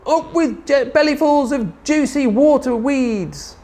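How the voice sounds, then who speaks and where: Man as high, hooting duck-billed dinosaurs. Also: huge pitch swings, drawn-out words, mellow, breathy edge, heavily acted. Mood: delighted. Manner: hooting exclamations. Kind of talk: children's storybook character voice.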